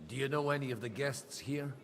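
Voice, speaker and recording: An actor playing a middle-aged medieval priest in a video game, world-weary and wry, with a dry, grumbling manner. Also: male voice, medium pitch, a little fast, slight background noise, lightly acted. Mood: wry, curious. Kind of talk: video game dialogue acting.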